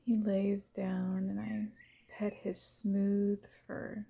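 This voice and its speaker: Slow, mellow woman with creaky softness. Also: mid-range voice, flat delivery. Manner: gentle, unhurried, pausing between short phrases. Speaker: guide of a visualization exercise. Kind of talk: slow, soothing first-person guided visualization.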